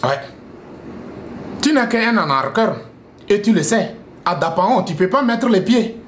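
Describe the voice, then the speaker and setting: A man's voice: sits high, animated, measured pace, echoey room, slight background noise. A man ranting scornfully on a live stream, repeating himself and mixing French with a local language. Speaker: live streamer addressing viewers. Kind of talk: heated live-stream rant.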